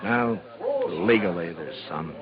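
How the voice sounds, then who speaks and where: An actor playing a weary, level-headed American marshal, terse and authoritative, in a 1950s radio Western. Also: male voice, low-pitched, takes it slowly. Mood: weary, patient. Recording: dull 1950s radio recording, crowd behind.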